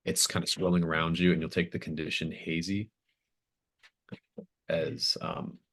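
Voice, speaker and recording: A game master improvising narration for a tabletop roleplaying game in a halting, thoughtful way. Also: deep voice, level tone, a bit bright.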